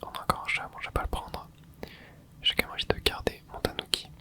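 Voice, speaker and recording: A man in his twenties, whispering softly as he comments on his gameplay for viewers. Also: fast talker. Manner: soft whispering, with excited asides to viewers. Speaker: gaming ASMR creator.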